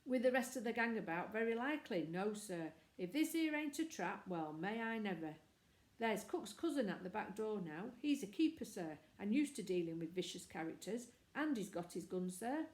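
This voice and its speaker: A woman's voice: medium pitch, very animated, normal speed, natural voice. A woman voicing a wary, stubborn and deferential rustic manservant in a children's story. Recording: echoey room.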